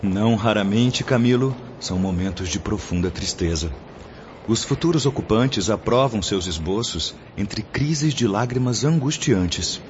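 A man plays a charismatic spiritual brother in a radio drama, lecturing solemnly in a low voice with a Brazilian accent. His delivery is formal and oratorical, on a dull recording. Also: lively intonation, measured pace. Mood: solemn, preaching. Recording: dull lo-fi audio with background noise.

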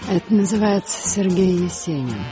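Low-pitched caring woman played by an actress. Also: lively intonation, moderate pace, music in the background, lightly acted. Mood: assured. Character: caring, understanding woman, sailor's sweetheart. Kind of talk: radio play acting.

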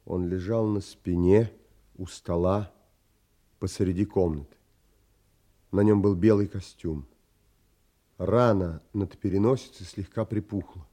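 An actor playing an earnest, composed gentleman hero who narrates a seafaring audio play in an expressive, theatrical way. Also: low-pitched, a little slow, pauses often. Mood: reflective, grave.

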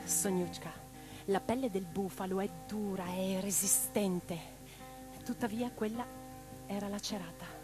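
A woman's voice: medium pitch, fairly even intonation, unhurried, lightly acted. A woman playing an impassioned, tender revolutionary writer in a radio drama, speaking in a lyrical, declamatory way. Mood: tender, sorrowful. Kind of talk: theatrical radio drama monologue and dialogue. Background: plucked guitar music.

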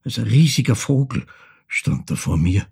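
An actor with an Austrian accent playing a haunted older man who recalls his childhood in a halting, intense dramatic monologue, his voice husky and gravelly. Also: medium pitch, very animated, a little mellow, full character voice. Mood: agitated, awed. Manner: halting, intense, dropping into near-whispers. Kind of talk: dramatic first-person literary monologue.

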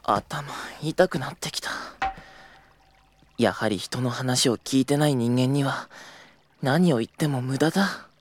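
A man's voice: medium pitch, a little slow, slight background noise, lightly acted. A man playing an earnest, easily exasperated young junior travel agent in an audio drama, polite and boyish in his delivery.

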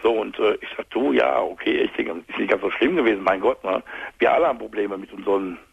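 A man calling a phone-in show, hoarse from a cold, speaking haltingly with many fillers. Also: fairly low pitch, no room sound. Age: 40s. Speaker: caller. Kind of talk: phone-in call. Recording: muffled phone line.

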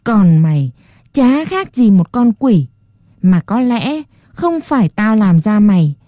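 A woman's voice: medium pitch, very animated, slow, dark tone, dry recording, studio quality. A woman voicing a grumpy, scornful old dollmaker who scolds and shoos a child away. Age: older. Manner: gruff, scolding, dismissive.

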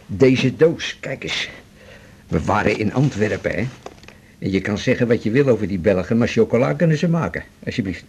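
A man's voice: lowish voice, lively intonation, moderate pace, darkish tone, a little hiss or hum. An actor playing a jovial, familiar, chatty man, breezy and teasing, in a radio drama.

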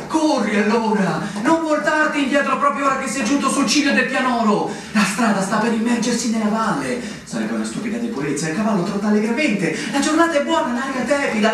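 A man's voice: very high-pitched, fairly bright tone. A man playing a brooding inner-voice narrator in a theatrical radio drama, speaking in poetic, declamatory phrases. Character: brooding male inner-voice narrator urging a young officer. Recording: very echoey stage, distant mic, hiss.

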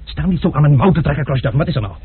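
An actor playing an impulsive, quick-tempered gentleman traveller in a radio play, full of exclamations. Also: fairly high pitch, slightly rough. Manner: lively, exclaiming, blustery. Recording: muffled lo-fi archive radio play.

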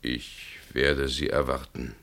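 A man's voice: a bass voice, little pitch movement, takes it slowly, gravelly, fairly bright tone, full character voice. An actor playing a brooding, reluctant man pressed into murder, grave and formal, in a solemn 1960s radio play. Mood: resigned.

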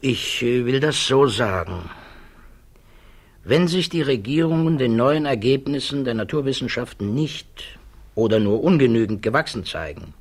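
Troubled scientist on the witness stand, bit husky, careful n hesitant, old german radio play. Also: male voice, medium pitch, expressive. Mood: pensive, troubled. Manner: hesitant, measured, deliberate. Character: thoughtful, troubled male physicist questioned as a witness. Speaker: actor. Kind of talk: 1960s German radio drama acting.

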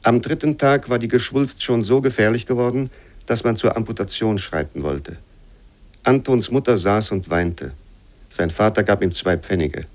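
Low-pitched man as sober literary memoir reader. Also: moderate pace, darkish tone, close to an everyday voice. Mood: sober, grave. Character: sober male reader of a bleak childhood memoir. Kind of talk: scripted literary reading for radio.

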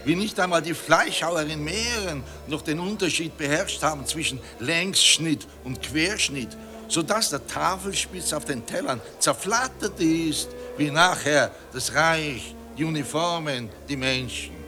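An actor in a German radio play as a pompous, nostalgic Austrian monarchist gentleman, effusive, halting and declamatory. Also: a little high, music in the background, natural voice. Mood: rapturous, solemn.

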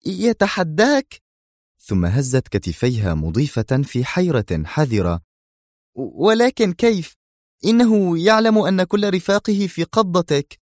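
A man's voice: on the high side, expressive, a little slow, a little mellow, no echo, clean studio sound, pitched above the performer's own voice. A man voicing a tense, cautious woman who works for a crime boss, in an audio drama. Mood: incredulous.

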